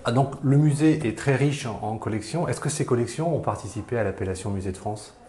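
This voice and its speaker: A man's voice: medium pitch, fairly quick, dark tone. A man working as a local TV interviewer, putting questions to a guest. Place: indoor room in a museum. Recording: roomy, echoing indoor sound.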